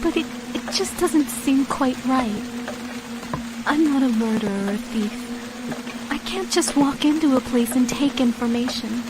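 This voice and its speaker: An actress playing an earnest, determined young woman seafarer in a game cutscene, speaking deliberately. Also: sits high, noisy background. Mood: troubled, hesitant. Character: young female foreign seafarer, earnest, determined, turning vengeful. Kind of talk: dramatic game cutscene narration and dialogue. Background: music.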